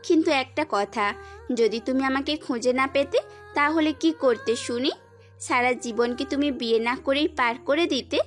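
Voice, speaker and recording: A woman voicing a young female doctor, gentle and affectionate, in an amateur audio drama. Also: sits high, normal speed, close to an everyday voice. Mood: curious. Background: faint background music.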